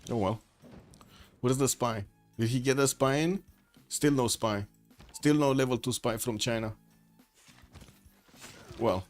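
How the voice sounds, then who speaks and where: Male streamer-caster, rambling live game commentary, greets chat, mid-pitched voice, faint music underneath. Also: measured pace, long pauses.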